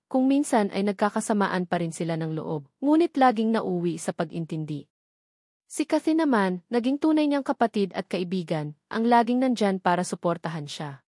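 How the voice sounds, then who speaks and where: A woman's voice: mid-range voice, warm tone, no room sound, clean studio sound. A woman reading scripted, dramatic story narration.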